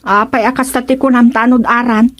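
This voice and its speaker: An actress playing a lively, chatty village woman in a radio comedy drama. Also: a little high, fairly quick, natural voice.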